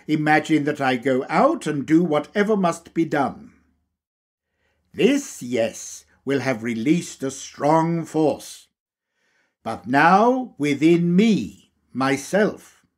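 Slow, husky, expressive male reader. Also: on the high side. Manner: deliberate, measured, emphatic phrasing. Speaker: audiobook reader. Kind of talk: scripted lecture reading.

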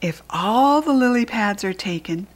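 A woman's voice: medium pitch, lively intonation, a little slow, lightly acted. A woman with an American accent voicing sweet, eager young animals in a picture book, earnest and singsong. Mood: eager, proud.

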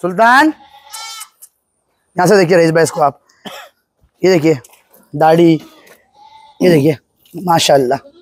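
High-voiced man selling goats outdoors. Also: measured pace, long pauses, slight background noise. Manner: pointing out features, eager selling. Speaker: goat farm seller. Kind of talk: casual sales walkthrough. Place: outdoor goat pen on a farm.

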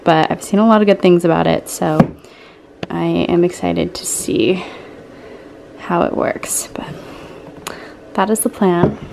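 A woman's voice: on the low side, gravelly, background noise. A woman in her twenties talking casually to a handheld camera in her bedroom, with lots of "like" fillers. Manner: chatty, relaxed, many "like" fillers. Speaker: lifestyle vlogger. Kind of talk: casual vlog talk to camera. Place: bedroom at home, indoors. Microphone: handheld camera at arm's length.

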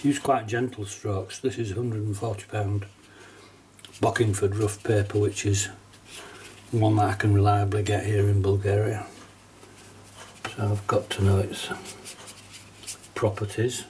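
A middle-aged man with a cold, sounding nasal, casually talking through a watercolour painting demonstration at his desk, relaxed and chatty with small laughs. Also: lowish voice, a little slow, long pauses, distant mic, slight background noise. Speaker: watercolour teacher. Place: painting desk at home, indoors.

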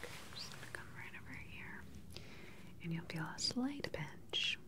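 A woman in her thirties whispers close to the microphone in a gentle, reassuring clinical manner, role-playing a doctor for ASMR. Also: lowish voice, animated, measured pace. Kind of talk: whispered ASMR medical exam roleplay. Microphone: close microphone, face near the camera.

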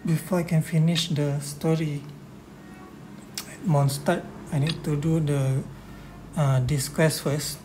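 Low, slow-talking woman doing a game walkthrough. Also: fairly even intonation. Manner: low-key, short phrases. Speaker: gamer narrating own walkthrough. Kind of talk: casual game walkthrough commentary. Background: loud game music.

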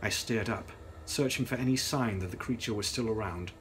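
A man's voice: lowish voice, brisk, fairly bright tone, slight background noise. A young man reading a gothic novel aloud in a grave, theatrical, dramatic style. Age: young adult. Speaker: author reading his novel.

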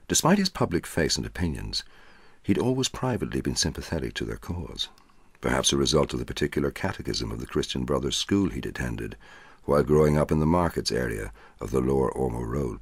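A man reading a short story aloud in a measured, quiet storytelling voice. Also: animated, slightly rough, dry recording. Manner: measured, quiet storytelling, dropping low for dialogue. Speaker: audiobook narrator. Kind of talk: scripted narration of a short story.

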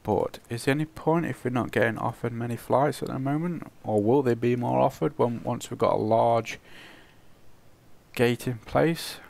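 A man with a croaky, cold-roughened voice, casually commentating his own gameplay and chuckling at his mistakes. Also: medium pitch, normal speed, a little mellow. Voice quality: croaky, gravelly. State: hoarse from a cold. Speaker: let's play host.

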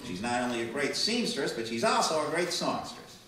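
A man's voice: medium pitch, sing-song, a little fast, pushed and bright, a little hiss or hum. Hammy older man as a wisecracking radio emcee, echoey. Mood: jokey, showy. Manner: hammy, joking, punchline delivery. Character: wisecracking male Bob Hope style show emcee. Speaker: master of ceremonies. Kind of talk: old-time radio variety show comedy. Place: small library room, live performance. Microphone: far camera. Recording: distant, very echoey room recording.

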